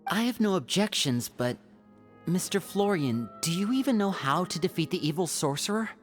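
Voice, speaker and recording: Archer magician heroine, female actor: practical, courteous, animated game dialogue over faint music. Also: on the low side, normal speed, close to an everyday voice. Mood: polite, questioning. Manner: polite, practical. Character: polite, keen young female archer magician. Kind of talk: video game character acting. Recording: music playing behind the voice.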